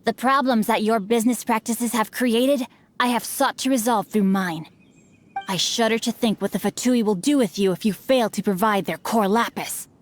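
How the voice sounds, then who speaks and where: Casting: a woman as a polished young male noble, fairly high voice, archaic phrasing, sly humor. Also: moderate pace, close to an everyday voice. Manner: formal, archaic wording, dry teasing wit. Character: witty bookish young noble heir, male, playful. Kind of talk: game cutscene voice acting.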